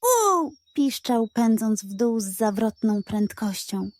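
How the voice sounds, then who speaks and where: A woman narrating a children's bedtime story from a script, expressive and carefully enunciated. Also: a little high, slow, dry recording.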